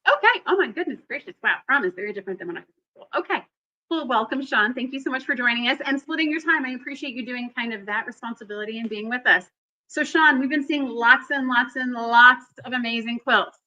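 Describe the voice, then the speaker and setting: Bubbly high-voiced woman hosting a livestream. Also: animated, quick pace, sharp tone, lo-fi sound. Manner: bubbly, effusive. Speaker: host of a live quilting event. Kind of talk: livestream chat.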